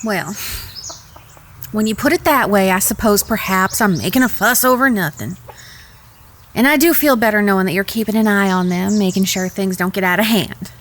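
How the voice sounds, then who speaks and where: A woman's voice: mid-range voice, moderate pace, fairly bright tone, slight background noise, close to an everyday voice. A woman acting a forthright, protective frontier woman in a Western audio drama. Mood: softened, conceding. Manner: scolding, emphatic, forthright. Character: fiery, protective frontier woman guarding her young brother.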